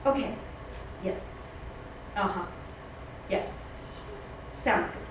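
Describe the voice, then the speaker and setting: A woman's voice: mid-range voice, slow pace, natural voice. A woman playing a shifty office lady with a secret, in a screenplay table read. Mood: businesslike. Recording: distant, very echoey room, faint noise.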